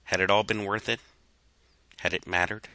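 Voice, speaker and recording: A man with a low, very bright and forceful voice narrates a story. His delivery is solemn, measured and theatrical. The sound is close and dry. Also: flat delivery, no echo. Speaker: fan fiction reader. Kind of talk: dramatic scripted story narration.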